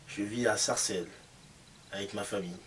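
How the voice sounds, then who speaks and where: A man's voice: on the low side, steady tone, moderate pace. A man in his late twenties whose first language is Creole, giving short, plain interview answers. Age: late 20s. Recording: distant mic with background noise.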